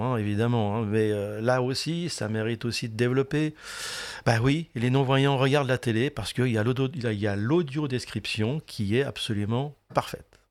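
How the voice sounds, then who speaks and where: Chatty male radio host explaining things. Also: mid-range voice. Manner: chatty, explanatory, many restarts. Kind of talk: radio talk show discussion. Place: local radio studio.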